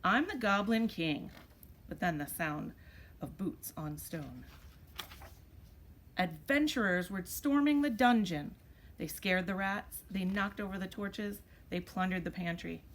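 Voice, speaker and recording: A woman with a slightly low voice reads a picture book aloud like a pre-K teacher. Her delivery is expressive and made for young children. There is some noise behind her. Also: measured pace.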